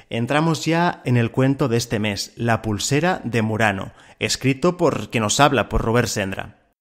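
A man hosting a fiction podcast and narrating in a scripted style. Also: mid-range voice. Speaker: podcast host and story narrator.

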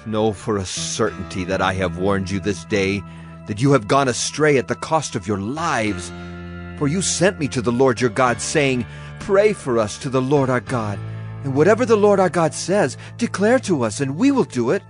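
A male actor as a grave prophet of ancient Judah in a dramatized scripture recording. He declaims solemnly and emphatically in a mid-pitched voice, stern and full of warning, with music underneath. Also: lively intonation, normal speed, close to an everyday voice. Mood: grave, accusing. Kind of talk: dramatized audio Bible acting.

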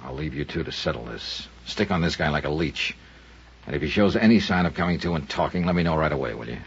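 An actor playing a clipped, wry insurance investigator who narrates a 1950s radio drama. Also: male voice, extremely low pitch, expressive, fast, husky, full character voice. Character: hard-boiled insurance investigator man. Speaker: detective and narrator. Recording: dull 1950s radio recording with music.

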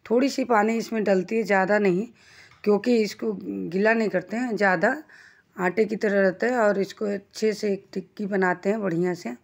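A woman's voice: mid-range voice, darkish tone. A woman hosting a home cooking channel, giving chatty step-by-step instructions as she cooks in her kitchen. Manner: chatty and homely, explaining as she works.

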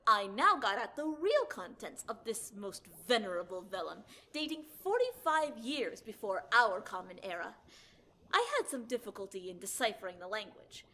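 A woman's voice: medium pitch, sing-song, normal speed, bright, forceful tone, heavily acted. A woman voicing a pompous, grandly self-assured boy scholar in an audio drama, theatrical and formal. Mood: lecturing.

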